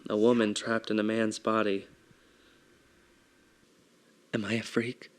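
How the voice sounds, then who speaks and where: A man with a soft, feminine-sounding voice performing a theatrical confessional monologue, mostly quiet and measured. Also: fairly low pitch, monotone.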